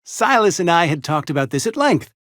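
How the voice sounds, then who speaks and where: An actor playing a fussy, mournful, company-loyal frontier barber and undertaker in a video game, speaking in formal, wordy, over-polite phrases. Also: male voice, on the high side, sing-song, a little fast, a bit bright, no echo, studio quality. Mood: apologetic, resigned. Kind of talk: theatrical video game character acting.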